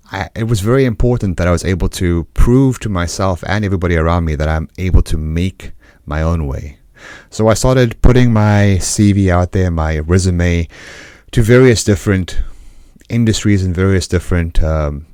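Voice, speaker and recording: Deep-voiced South African man, earnest. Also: a little mellow, dry recording, clean studio sound. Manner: earnest and measured, with deliberate pauses. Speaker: podcast host. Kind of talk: solo personal storytelling monologue.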